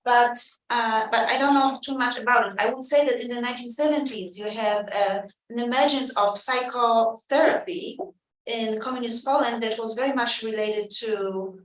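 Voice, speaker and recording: A woman's voice: fairly high pitch, moderate pace, distant mic. A woman adding remarks during a lecture discussion, talking casually with lots of "you know" and "like". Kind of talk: conversational remarks in a panel discussion. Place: lecture room. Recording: roomy hall, voice from off mic.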